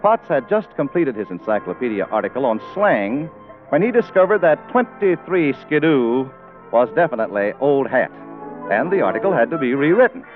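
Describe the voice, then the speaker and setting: A man announcing and narrating a 1940s radio show in a showy, polished scripted style. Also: mid-range voice. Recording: muffled 1940s radio broadcast with music.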